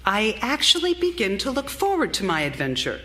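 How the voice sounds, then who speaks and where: A woman playing a fragile, anguished young Victorian wife in live radio theater, with deliberate, theatrical intensity. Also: mid-range voice, huge pitch swings, fairly bright tone. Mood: meek, hopeful. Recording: live theater recording with music underneath.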